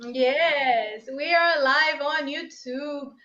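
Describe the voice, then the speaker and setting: A woman's voice: high voice, very slow, fairly bright tone. Upbeat Brazilian woman teaching English live. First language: Portuguese. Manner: upbeat, chatty, talks to viewers directly. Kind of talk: live-streamed exam prep lesson. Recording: slightly dull live-stream audio.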